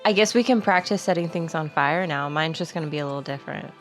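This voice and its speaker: A woman's voice: deep voice, quick pace. A woman playing a tabletop roleplaying game, chatting in character in a casual, hesitant way peppered with filler words. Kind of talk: casual in-character improvised chat. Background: background music.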